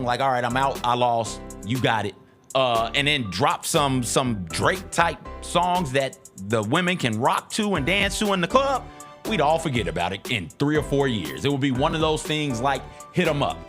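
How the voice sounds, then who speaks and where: American guy co-host, super animated pitch swings, slangy, repeats stuff for emphasis, faint music behind. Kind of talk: casual banter and commentary.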